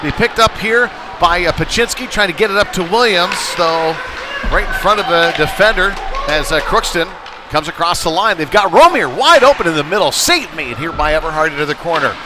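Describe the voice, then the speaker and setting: A man doing conversational, folksy live play-by-play commentary of a youth hockey game, getting excitable when play heats up. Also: fairly high pitch, brisk, background noise. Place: indoor ice rink. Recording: live rink stream with music behind. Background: music over rink PA.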